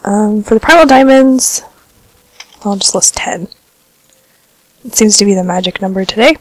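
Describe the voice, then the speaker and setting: A woman's voice: medium pitch, normal speed, no echo, rough recording. Woman w/ a bit of a cold chatting thru her gameplay, stuffy nose, dry close mic, lots of ums. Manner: relaxed, chatty, filler-heavy. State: slightly congested from a cold. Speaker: gaming vlogger. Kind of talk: casual gameplay commentary.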